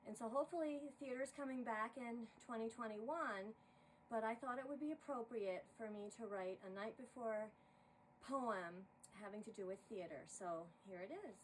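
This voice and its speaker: A woman narrating a rhyming story in an expressive, theatrical style. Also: on the high side, normal speed. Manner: dramatic, expressive. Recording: distant mic with faint noise.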